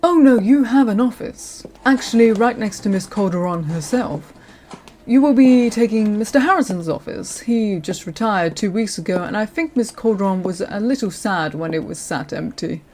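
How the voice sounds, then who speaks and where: There is faint noise behind the voice. An actress plays a senior female lawyer in an audio roleplay, courteous and professional. Her delivery is measured, at a medium pitch, as she addresses a newcomer. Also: expressive, mellow, lightly acted. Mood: polite, businesslike.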